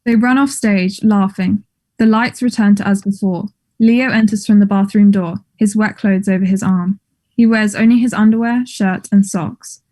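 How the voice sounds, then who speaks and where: Female narrator for a play reading, mellow mid-pitched voice, neutral and measured. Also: steady tone. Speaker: stage directions narrator. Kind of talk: scripted narration.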